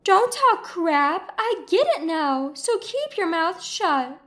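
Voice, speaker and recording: Woman putting on a shrill, spiteful mother-in-law. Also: big pitch swings, slow pace, soft background music, heavily acted. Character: spiteful, self-centered older mother-in-law.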